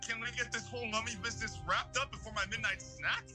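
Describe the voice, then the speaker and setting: A man's voice: sits high, steady tone, fast talker, natural voice. An actor playing an unconfident, goofy pubescent cartoon boy with a crackling voice in an audio drama. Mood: goofy, nervous. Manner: unconfident, hesitant. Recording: music bed behind the voice.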